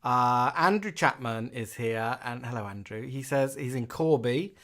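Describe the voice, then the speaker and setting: A man from the UK co-hosts a casual live-stream chat. His voice sits at an ordinary middle pitch. He reads out viewers' messages in a chatty, amused way. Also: lively intonation, measured pace, fairly bright tone. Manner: chatty, reads out comments with a laugh. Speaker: co-host reading viewer comments.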